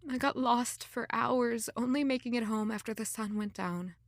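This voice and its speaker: Actress as young female runaway for audio drama: high voice, dry close sound, wistful, dramatic, hard on herself. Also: moderate pace, no echo, close to an everyday voice. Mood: wistful, subdued. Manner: dramatic, anguished self-questioning. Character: self-blaming young runaway woman, wistful and highly emotional. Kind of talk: audio drama acting with reflective narration.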